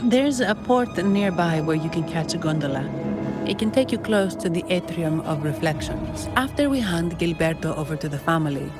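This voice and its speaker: An actress playing a no-nonsense, protective comrade in a video game, with brisk, decisive speech. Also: female voice, fairly low pitch, animated, music in the background, lightly acted.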